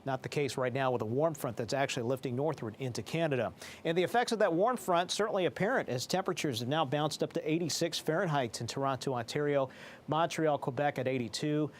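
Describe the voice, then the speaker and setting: A man's voice: fairly high pitch, quick pace, a little hiss or hum. A man presenting a television weather forecast in a formal, explanatory broadcast manner. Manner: formal, explanatory broadcast delivery with technical phrasing. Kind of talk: live weather forecast commentary.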